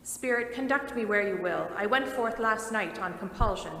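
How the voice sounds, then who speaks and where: A woman's voice: mid-range voice, normal speed, bright, forceful tone. A woman voicing a humbled old male miser, speaking in earnest, formal pleading, recorded in an echoey hall. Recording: echoey hall, faint noise.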